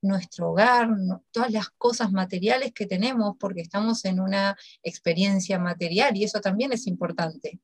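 A woman interviewing a guest in a relaxed, encouraging way. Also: medium pitch, moderate pace, few pauses. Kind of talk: interview conversation.

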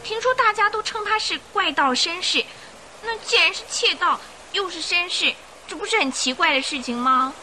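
An actress playing a sweet, curious, innocent young lady with an expressive girlish manner in a Chinese radio drama. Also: very high voice, normal speed, a little hiss or hum, full character voice, low-quality recording. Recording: radio drama with faint music behind.

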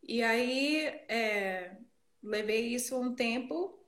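Slow, high-voiced American woman, echoey recording. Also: fairly bright tone. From northeastern United States. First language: English. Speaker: interview guest, worship leader. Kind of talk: conversational personal storytelling in an interview.

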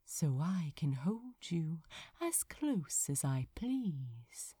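A woman voicing a refined, mischievous gentleman who teases with prim mock propriety in a storybook reading. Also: deep voice, sing-song, slightly rough, no echo, full character voice. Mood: soft, intimate. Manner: prim, mock-proper, deliberate innocence. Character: refined, mischievous gentleman, teasing dance tutor.

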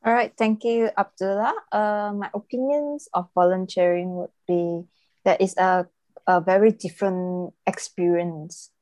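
A woman's voice: mid-range voice, fairly even intonation, unhurried, a little mellow, no room sound. A woman, a recent graduate chatting casually with fillers. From Malaysia. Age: 20s. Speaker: volunteer guest, recent graduate. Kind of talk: casual podcast discussion.

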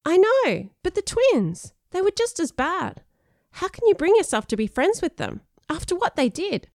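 A woman's voice: high-pitched, sing-song, fairly quick, a little mellow, no room sound, clean studio sound, pitched above the performer's own voice. A woman voicing an awkward, earnest schoolboy in an audiobook story. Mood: pleading.

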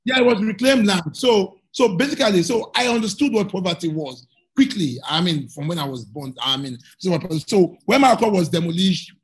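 A man's voice: sits high, animated, moderate pace, breathy edge. A Nigerian man from Lagos, answering interview questions earnestly and often repeating words as he searches for them. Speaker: guest journalist.

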